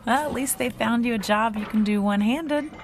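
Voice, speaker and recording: A woman's voice: fairly high pitch, brisk, natural voice. An actress playing a friendly, playful, teasing young woman in naturalistic radio drama dialogue.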